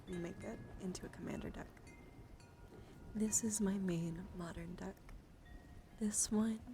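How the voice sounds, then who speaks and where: Female ASMR streamer, medium pitch, playful and chatty, shifting between whisper and soft talk, clearly noisy recording. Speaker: livestream host. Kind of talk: ASMR card showcase with casual chat to viewers.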